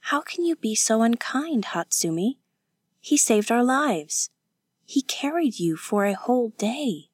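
Woman voicing an earnest young woman, pitched higher. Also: very animated, unhurried, slightly rough, no echo, studio quality, full character voice. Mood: earnest reproach. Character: earnest, gentle young woman, romantic and pleading.

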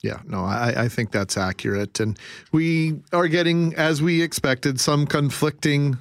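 A man co-hosting live radio, discussing in measured, slightly formal wording. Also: a little high, no room sound. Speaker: radio co-host. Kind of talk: live radio discussion, reading listener texts.

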